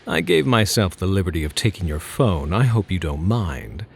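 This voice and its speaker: Casting: male actor for playful, smug gentleman thief, ASMR roleplay; gravelly, very animated delivery, teasing, flirty, mock-courteous. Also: low voice, normal speed, slight background noise, full character voice. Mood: smug, mock-caring. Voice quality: husky, gravelly. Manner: teasing, mock-polite, theatrical.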